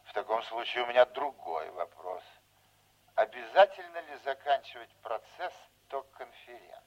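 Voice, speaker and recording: An older man acting in a radio play as a folksy, scheming veteran politician, unhurried, fatherly and insinuating. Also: medium pitch, expressive, lo-fi sound, close to an everyday voice. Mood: insistent, scheming.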